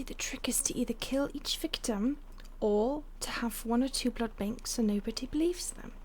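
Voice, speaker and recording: A woman playing a playful, condescending vampire stalker in an intimate audio roleplay, teasing and haughty in a breathy voice that often drops to a whisper. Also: a little high, a little mellow, a little hiss or hum. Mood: teasing menace.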